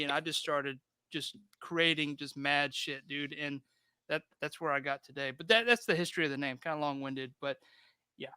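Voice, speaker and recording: Male livestream host, 30s, bright and crisp, relaxed rambling chat, dry sound. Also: on the high side, no echo. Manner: laid-back, rambling, reads chat aloud.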